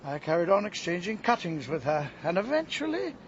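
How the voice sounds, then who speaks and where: An actor playing an aggrieved, vengeful middle-aged gardener and scoutmaster in a radio drama, with a wounded manner. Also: male voice, high voice, very animated. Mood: quietly vengeful. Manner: wounded, bitter, deliberate. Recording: dull sound, faint background noise.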